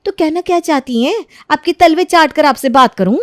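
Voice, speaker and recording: A woman's voice: sits high, animated, normal speed, pitched above the performer's own voice. A woman voicing an insolent, scheming young daughter-in-law in a moral story, sarcastic and defiant in manner.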